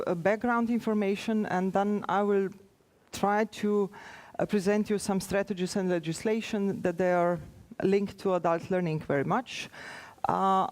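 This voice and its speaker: A woman with a Slovenian accent, a native Slovenian speaker, giving an informal conference presentation as an official, in a hesitant, halting way with many pauses. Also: lowish voice, darkish tone.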